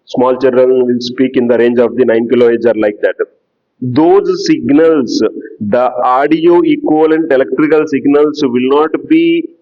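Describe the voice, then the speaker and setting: A man giving a lecture in a slow, explanatory way that repeats key terms. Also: medium pitch, lo-fi sound. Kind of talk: classroom lecture.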